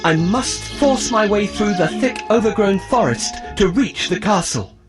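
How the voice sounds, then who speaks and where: A man's voice: high-pitched, expressive, measured pace, music in the background. A man voicing an earnest, determined young prince in a children's storybook, with careful, declarative enunciation. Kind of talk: scripted children's storybook acting.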